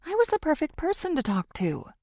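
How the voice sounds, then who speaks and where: A woman's voice: sits high, huge pitch swings, brisk, darkish tone, dry recording, clean studio sound, pitched above the performer's own voice, full character voice. A woman voicing an anxious, apologetic woman confiding in her best friend in an audiobook, hesitant and pleading.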